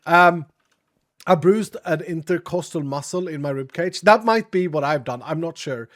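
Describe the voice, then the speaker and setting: Streamer, male, sniffly and raspy from a cold, chatty and a bit dramatic, sits high in his range. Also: moderate pace, fairly bright tone. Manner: chatty, dramatic, thanks viewers often. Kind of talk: casual live-stream chat.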